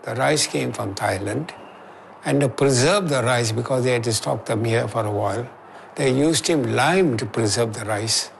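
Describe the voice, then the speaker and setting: A man's voice: mid-range voice, moderate pace, gravelly, slight background noise. A man in his eighties recalling wartime hardship in a matter-of-fact documentary interview. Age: 80s.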